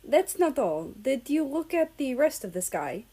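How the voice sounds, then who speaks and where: A woman voicing an easygoing, teasing male travel companion in a story reading, relaxed and thoughtful in manner. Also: high-pitched, measured pace, voice pushed up. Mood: awestruck.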